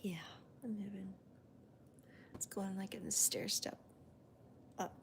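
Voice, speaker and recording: Female crochet host, whispery and gravelly, calm and even, counts stitches aloud. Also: medium pitch, slow, a little hiss or hum. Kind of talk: soft-spoken crochet demonstration and chat.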